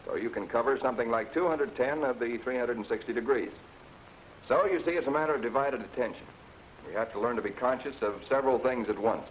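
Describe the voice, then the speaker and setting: An American man gives plain-spoken, explanatory 1940s instructional film narration as a flight instructor, addressing the learner directly. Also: medium pitch. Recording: noisy 1940s film soundtrack, aircraft drone.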